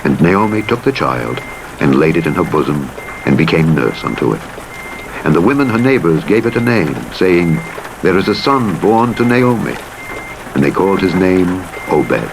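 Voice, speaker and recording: A deep-voiced man reads scripture with solemn, measured storytelling delivery. His intonation rises and falls widely, giving the text drama. A noisy rumble of vehicle and rain-like game sound runs behind him. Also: big pitch swings, gravelly. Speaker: audiobook narrator. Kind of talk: scripted dramatic Bible reading. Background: truck simulator game driving noise.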